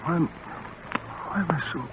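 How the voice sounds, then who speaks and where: An actor playing a trusting, drowsy young son in a 1940s radio drama, speaking hesitantly. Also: male voice, a little slow, lightly acted. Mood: drowsy, confused. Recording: very noisy, muffled old radio recording.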